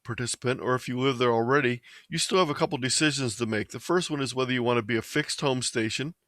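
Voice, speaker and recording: An American man presents a tutorial in a plain, step-by-step manner. His voice sits at an average pitch for a man. The recording is dry, with very little room sound. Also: fast talker, a bit bright, no room sound. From Ohio, United States. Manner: plain, methodical explaining. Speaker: presenter of an amateur radio tutorial. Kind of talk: instructional slideshow presentation.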